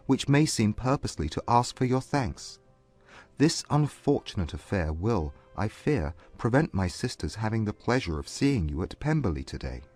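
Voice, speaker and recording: A man playing a grave, compassionate young gentleman who speaks formally and with restraint in a dramatized period audiobook. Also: on the low side, no echo. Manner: formal, earnest, reserved.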